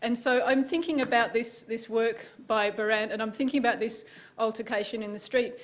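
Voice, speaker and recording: Halting Australian woman, higher voice. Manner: halting, repeats words. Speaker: visiting writer. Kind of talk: reflective reading.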